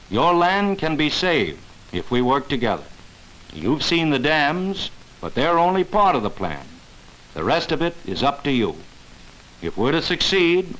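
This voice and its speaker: Earnest male documentary narrator, lively pitch. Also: rough recording. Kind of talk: scripted narration, mid-century educational film.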